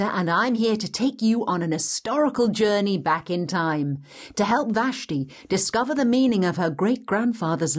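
Welcoming female history guide. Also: medium pitch. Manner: clear, explanatory. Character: welcoming guide through history, the Past Master. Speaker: narrator. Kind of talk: scripted educational narration.

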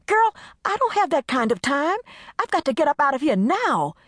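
A woman voicing a frantic, shrill young woman. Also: very animated, moderate pace, fairly bright tone, no echo, clean studio sound. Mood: frantic pleading. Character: panicked young woman, frantic best friend.

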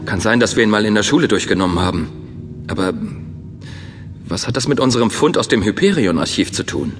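Low, expressive thoughtful leader played by a man. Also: a little fast, natural voice. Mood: questioning, thoughtful. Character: calm, thoughtful male space-faring leader. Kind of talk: German science fiction audio drama acting. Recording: long reverberant hall sound.

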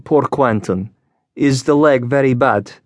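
A man's voice: mid-range voice, a little slow, no echo, studio quality, close to an everyday voice. A man narrating an audiobook as a proud, spirited young Russian noblewoman, with fervent, formal phrasing. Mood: concerned.

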